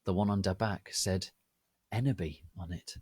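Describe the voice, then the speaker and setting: A man narrating a story as a dramatic reading, in a deliberate and theatrical manner. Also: low voice, a little mellow, slightly rough, no room sound, clean studio sound.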